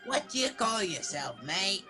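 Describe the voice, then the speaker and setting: A bright, forceful castaway sailor acted by a woman rambles slowly in rustic seafaring dialect, repeating himself eagerly. It is radio play acting over music. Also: mid-range voice, very animated. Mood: wistful, eager. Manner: rambling, repeats words, exclaiming. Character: marooned half-wild castaway sailor man, eager and rambling.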